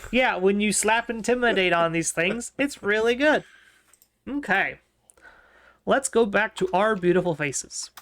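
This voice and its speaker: A man co-hosting a casual podcast, thinking aloud in a relaxed way with frequent ums. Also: high voice, a little slow. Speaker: podcast co-host.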